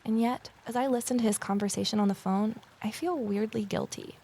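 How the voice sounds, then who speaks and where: A woman's voice: fairly high pitch, steady tone, brisk, dark tone, breathy edge. An actress playing a guarded, lonely amateur sleuth who narrates her own mystery in an introspective audio drama style. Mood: wistful.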